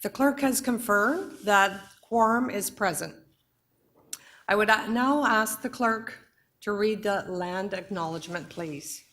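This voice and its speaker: A woman with a hoarse voice runs a formal board meeting from a council chamber. She speaks in a measured, procedural way, with the odd filler. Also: mid-range voice, a bit bright. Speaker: board chair.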